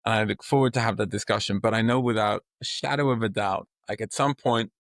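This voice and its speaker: A man's voice: on the low side, fairly quick, dry recording, studio quality. A middle-aged man from Sweden with a Swedish accent, a podcast guest speaking expansively and in vivid images. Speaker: guest, company co-founder. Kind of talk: podcast interview.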